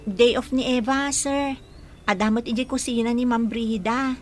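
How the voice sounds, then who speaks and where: Casting: actress as obedient household maid for audio drama, lightly high pitch, deferential and humble. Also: female voice, steady tone, normal speed, soft background music, close to an everyday voice. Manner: deferential, polite. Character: meek, obedient young housemaid. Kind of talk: Ilocano radio-style drama.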